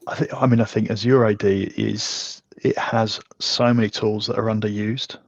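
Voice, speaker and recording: A man with a fairly low voice and very little melodic movement talks through technical ideas as an interview guest. He explains in a discursive, conversational way. The sound is close and dry, with a slightly dull top end. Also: steady tone, moderate pace, creaky, no room sound. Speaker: podcast guest, technical architect.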